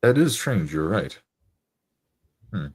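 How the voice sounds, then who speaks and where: Deep voiced guy playing tabletop rpg, talks in character, kinda formal and deliberate. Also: very animated, a little mellow, breathy edge. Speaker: tabletop roleplaying player. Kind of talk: improvised in-character roleplay.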